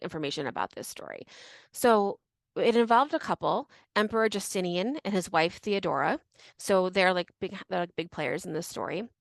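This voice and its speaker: A woman's voice: mid-range voice, dry recording. A young woman co-hosting a podcast, casually telling a history story with many fillers and frequent laughs. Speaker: podcast co-host.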